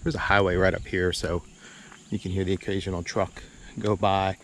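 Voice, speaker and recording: A man's voice: low-pitched, little pitch movement, normal speed. A middle-aged man chatting casually and in a rambling, laid-back way to viewers as he vlogs outdoors at a campground. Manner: laid-back, rambling, chuckles while talking. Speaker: vlog host. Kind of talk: casual outdoor vlog chat. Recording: outdoor noise, birds and insects.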